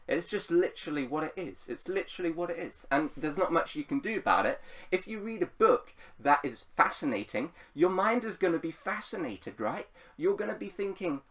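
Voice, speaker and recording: A man's voice: fairly high pitch, animated, moderate pace, a bit bright, far from the mic. A man giving casual, unscripted writing advice as a video host, rambling playfully and repeating phrases for emphasis. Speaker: YouTube writing coach and host.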